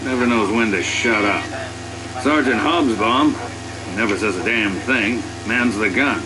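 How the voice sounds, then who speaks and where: An actor playing a wry, grumbling tank commander sergeant who narrates a game cutscene in slangy, sardonic words. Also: male voice, on the low side, measured pace, lightly acted, poor audio. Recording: engine-like noise behind the voice.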